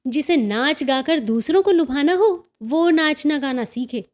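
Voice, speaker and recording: A woman voicing a sulky, easily offended young daughter-in-law in a story, answering in sharp, curt retorts. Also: sits high, expressive, a little slow. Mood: irritated.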